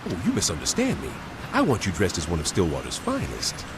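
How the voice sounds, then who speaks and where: Slick, fast-talking crooked man. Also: low-pitched, wildly swooping pitch, gravelly, background noise, heavily acted. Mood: sly. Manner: wordy, mock-innocent, insinuating. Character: slick, sly, corrupt male lawyer type. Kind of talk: video game cutscene acting.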